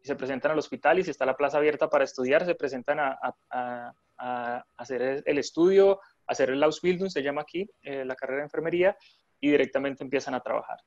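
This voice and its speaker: A man's voice: medium pitch, quick pace, no room sound. Colombian man talking over a dull call. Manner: relaxed, many fillers and restarts. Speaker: nurse interviewee. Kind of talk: remote interview chat.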